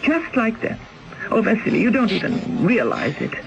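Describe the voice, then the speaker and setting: An actress playing a spoiled, discontented young wife in a radio drama, haughty and complaining. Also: female voice, medium pitch, big pitch swings, normal speed, creaky. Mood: aggrieved. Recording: muffled lo-fi radio drama broadcast.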